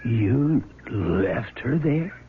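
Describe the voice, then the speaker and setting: An actor playing a stern, no-nonsense sheriff, firm and authoritative, in 1970s radio drama style. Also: male voice, medium pitch, expressive, extremely slow. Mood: grim. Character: stern, no-nonsense county sheriff questioning a suspect. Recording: narrowband, noisy old radio broadcast recording.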